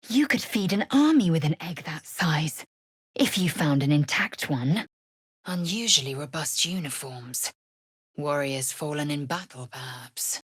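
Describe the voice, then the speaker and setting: An actress playing a fierce, proud alien warrior woman in a video game, with a raspy voice and curt, imperious, formal diction. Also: fairly low pitch, a bit bright, no echo, studio quality, exaggerated voice. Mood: dry, dismissive.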